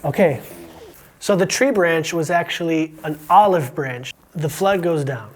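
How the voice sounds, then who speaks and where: A man's voice: a little high, measured pace. A man with an American accent, born in Romania, hosting a podcast and quizzing a student in an encouraging, joking manner. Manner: encouraging, asks quiz questions, jokey. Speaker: podcast host. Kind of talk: casual interview with a student.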